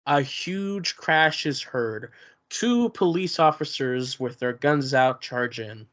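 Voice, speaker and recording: A man reads the stage directions aloud at a table read, in a plain and steady voice. The recording is muffled and lo-fi. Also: mid-range voice, takes it slowly. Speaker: narrator reading stage directions.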